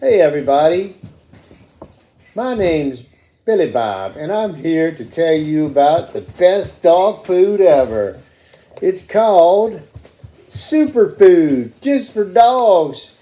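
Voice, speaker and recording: An amateur puppeteer voicing an excitable, boastful talking dog in a puppet show. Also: medium pitch, slow pace. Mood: excited, boastful. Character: excitable jumping talking dog selling dog food.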